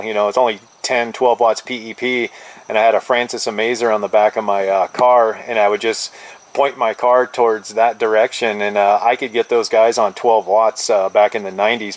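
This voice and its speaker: A middle-aged man with a fairly low voice talks casually over two-way radio. He sounds like a hobby operator, trading easygoing radio lingo in an informal back-and-forth. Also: poor audio.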